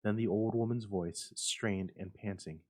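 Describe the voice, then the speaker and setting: A man's voice: fairly low pitch, fairly even intonation, unhurried, a little mellow. A man reading a classic horror story aloud as a suspenseful, theatrical narrator. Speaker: library staff storyteller. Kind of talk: dramatic scripted story narration.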